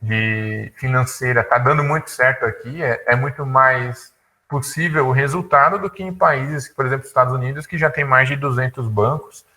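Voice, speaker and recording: A man of about thirty gives an informal talk, colloquial and halting, often repeating words as he thinks. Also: mid-range voice, pushed and bright. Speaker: guest speaker, tech entrepreneur. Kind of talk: informal talk at an online conference.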